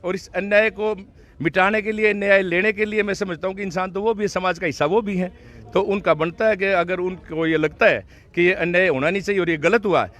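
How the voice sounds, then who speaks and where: A man's voice: sits high, steady tone, a little fast, a bit bright. A man speaking at a protest and to a reporter in an earnest, formal, appealing manner. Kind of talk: public address and interview.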